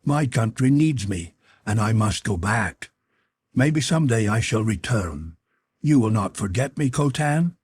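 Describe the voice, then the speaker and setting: A man playing a steadfast, tender adventure hero in an audiobook, earnest and gentle in manner. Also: lowish voice, animated, measured pace, breathy edge, no echo, studio quality. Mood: regretful. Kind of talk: audiobook character voice.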